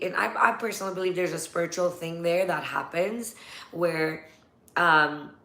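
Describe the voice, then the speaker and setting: Chatty woman host in an echoey room. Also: on the low side. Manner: chatty, animated, quick to laugh. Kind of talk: casual livestream interview.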